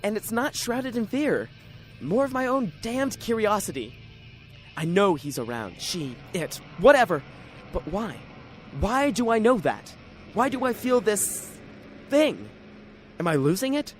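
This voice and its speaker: Someone acting out a jittery excitable radio drama narrator, pitch jumping all over, questions themself, music behind. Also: unhurried. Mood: agitated. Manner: dramatic, self-questioning first-person storytelling. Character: jittery, excitable library regular narrating his own strange chase.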